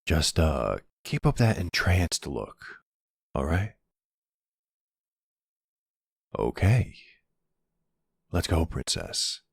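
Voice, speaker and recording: Man voicing a deep, gravelly, flirty vampire. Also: big pitch swings, takes it slowly, no room sound, studio quality, heavily acted. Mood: wry, bossy. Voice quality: gravelly, husky.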